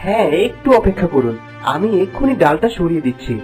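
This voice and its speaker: A noble young fairy-tale prince, acted by a man for a cartoon speaks with lively, sweeping intonation. He is earnest, caring and declamatory, over light music. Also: sits high, fast talker. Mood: concerned.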